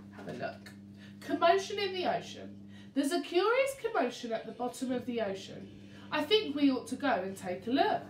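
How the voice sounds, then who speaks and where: A woman reading a picture book to young children in an expressive, interactive way, speaking to them directly. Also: sits high. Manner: expressive, questioning, addresses young listeners. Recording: roomy, distant mic, faint noise.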